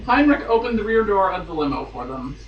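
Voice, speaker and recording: A man's voice: high voice, very animated, brisk, highs cut off. A man giving a theatrical dramatic reading of a romance novel, dropping into amused asides. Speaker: guest reader on a podcast. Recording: roomy, distant mic, faint noise.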